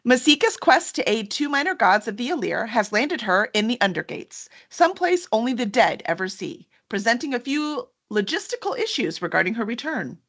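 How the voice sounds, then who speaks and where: Woman, high bright voice, lively swinging pitch, enthusiastic host, casual live chat. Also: normal speed, few pauses. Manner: enthusiastic, gushing. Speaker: host of a book cover reveal.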